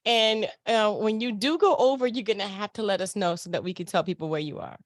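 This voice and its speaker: A woman's voice: medium pitch, brisk, no room sound. A woman hosting a casual chat interview, speaking in an informal, slangy and effusive way. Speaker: interview show host.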